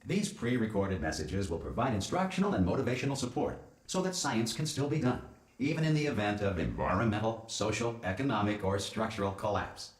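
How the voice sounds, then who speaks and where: A polite facility announcer reads out formal pre-recorded notices. His delivery is measured yet bounces in pitch. The voice rings with a roomy echo. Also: very animated. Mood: calm. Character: calm male facility announcer.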